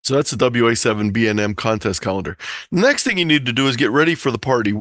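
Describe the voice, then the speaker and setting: A man's voice: medium pitch, animated, fast, a bit bright, no room sound, clean studio sound. An American man giving an informal, explanatory online presentation, talking listeners through practical instructions as a presenter. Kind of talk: informal slideshow lecture on a hobby. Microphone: close computer or headset mic on a video call.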